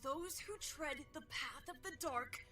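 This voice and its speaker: Woman as a scrappy, very high-voiced young adventurer. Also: measured pace, music in the background. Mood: curious, bold. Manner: assertive, go-get-em. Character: scrappy, assertive young adventurer puzzling over things. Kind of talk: acted character demo reel.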